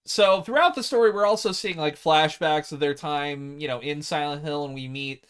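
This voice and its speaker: A man's voice: a little high, moderate pace, a bit bright, smooth. A man in a casual group film discussion, explaining things in a rambling way full of asides. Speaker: podcast co-host reviewing films.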